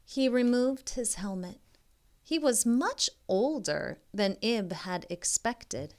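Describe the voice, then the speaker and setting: A woman reading a children's story aloud as a tutor, expressively and deliberately, with informal, encouraging asides to young listeners. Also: on the high side, lively intonation, darkish tone. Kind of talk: modeled children's read-aloud with chatty asides.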